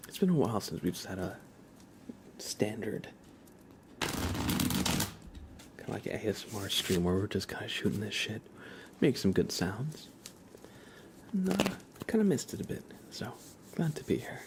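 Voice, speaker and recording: A man hosting an ASMR livestream, chatting softly and casually in a rambling way, often slipping into a whisper. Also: lowish voice, animated, measured pace, long pauses, noisy background. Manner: casual, rambling, hesitant with frequent "like". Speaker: livestream host. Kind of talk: soft-spoken ASMR livestream, casual chat.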